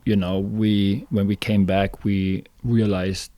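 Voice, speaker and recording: A man from Germany with a southern Bavarian accent, a guest on a podcast telling his story in a halting way, with many fillers and restarts. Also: on the low side, flat delivery, dark tone, no echo. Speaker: guest, fishing guide. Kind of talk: casual interview storytelling.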